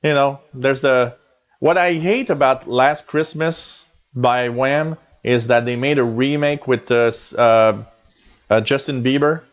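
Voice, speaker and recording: A man's voice: mid-range voice, a little slow. A chatty Canadian man in his forties, his voice sitting in a middle range. He rambles along with plenty of ums and restarts as he hosts a live show. The audio is a bit dull and lo-fi. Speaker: radio show host reading chat. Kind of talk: casual live chat.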